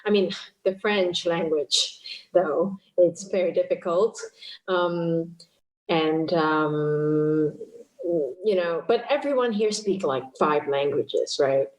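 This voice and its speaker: A Cantonese-speaking woman from chats casually in English, her voice sitting a bit low. She laughs often and pokes fun at herself. The room around her rings with echo. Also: slow pace, darkish tone. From Hong Kong. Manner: chatty, laughs often, self-deprecating. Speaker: guest musician. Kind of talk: casual interview chat.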